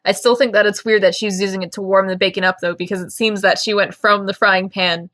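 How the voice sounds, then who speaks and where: A woman's voice: mid-range voice, fairly even intonation, brisk, a bit bright, dry recording, studio quality. A woman co-hosting a podcast, discussing a book in a casual, candid, conversational way. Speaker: podcast co-host.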